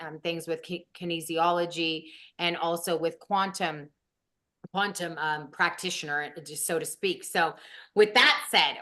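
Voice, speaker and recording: A woman's voice: on the low side, sharp tone. A woman in her fifties hosting a show over a video call, introducing her guest with rambling, enthusiastic praise. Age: 50s. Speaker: host.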